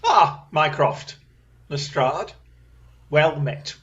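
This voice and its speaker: Performer as brilliant male Victorian detective, wry, commanding, highly varied intonation, crisp theatrical delivery, audio drama. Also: lively intonation, very slow, fairly bright tone, lo-fi sound, soft background music. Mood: brisk, commanding.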